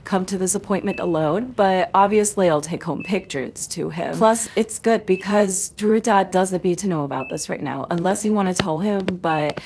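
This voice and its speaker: A woman playing a calm, persuasive mother of a teenager in an amateur voiced roleplay, with a gentle, explanatory manner. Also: fairly low pitch, normal speed, few pauses, a little hiss or hum, lightly acted. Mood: reassuring. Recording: music behind the voice.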